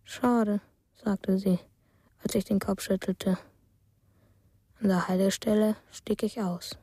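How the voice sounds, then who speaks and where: Slow, breathy, dreamy storyteller child. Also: female voice, mid-range voice, fairly even intonation, dry recording, studio quality. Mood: dreamy, hushed. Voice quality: soft, breathy, half-whispered. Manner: slow, simple, detached sentences. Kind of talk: interior monologue in radio drama.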